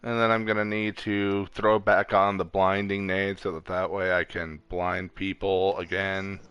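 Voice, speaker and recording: A man's voice: deep voice, level tone, a little slow, a bit bright, smooth, dull audio. A man streaming a game, talking in an informal, playful way with casual commentary. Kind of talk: casual gaming chat and commentary.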